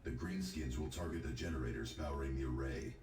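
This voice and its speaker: An actor playing a grave, formal military commander giving stern, measured mission briefings in a video game. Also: male voice, extremely low pitch. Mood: urgent briefing. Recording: echoey game audio with clear noise.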